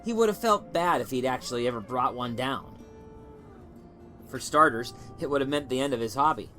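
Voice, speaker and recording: A man reading a short story as scripted narration, in a measured, dramatic storytelling manner. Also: medium pitch, expressive, bright, forceful tone, soft background music. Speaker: story reader.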